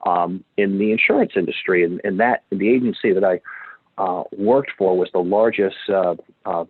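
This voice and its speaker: A man's voice: deep voice, moderate pace, dry recording. A man in his fifties telling his career story as a guest, rambling with many restarts. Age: 50s. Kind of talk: storytelling interview. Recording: dry tinny phone-like line.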